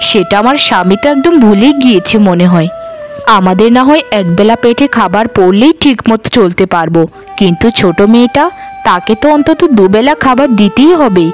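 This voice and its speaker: A woman's voice: medium pitch, lively intonation, brisk, soft background music, close to an everyday voice. A woman voicing a careworn, worried village mother in plaintive, melodramatic cartoon dialogue. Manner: plaintive, lamenting.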